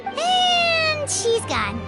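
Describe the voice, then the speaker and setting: A woman voicing a tiny, childlike, inquisitive female game companion with a squeaky, chirpy voice. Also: wildly swooping pitch, very slow, exaggerated voice. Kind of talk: video game voice acting. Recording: music playing over the voice.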